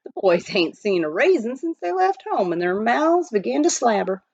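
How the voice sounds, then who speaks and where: A woman's voice: on the high side, sing-song, moderate pace, creaky, a little mellow, no echo, dull audio, pitched above the performer's own voice, heavily acted. Woman putting on a folksy, drawling gold-rush miner. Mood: wry, folksy. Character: folksy old-time male gold miner, wry yarn-spinner.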